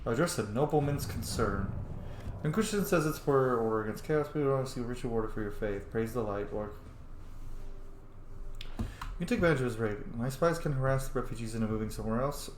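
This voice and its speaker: A man's voice: medium pitch, a little fast. A man streaming a video game with casual, offhand and sometimes profane commentary. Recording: echoey room with faint noise.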